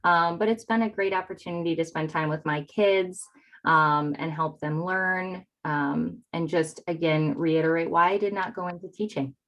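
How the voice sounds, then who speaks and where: A woman's voice: fairly low pitch, steady tone, moderate pace, fairly bright tone, low-quality recording. A woman with a Yooper northern Wisconsin accent giving conversational answers as an interview guest on a video call. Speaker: interview guest, real estate agent.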